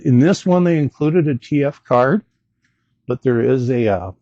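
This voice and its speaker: A man hosting a casual product demonstration in a folksy, unhurried way, with hesitant asides. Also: medium pitch, vocal fry, mellow, no room sound, lo-fi sound. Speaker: gadget review channel host.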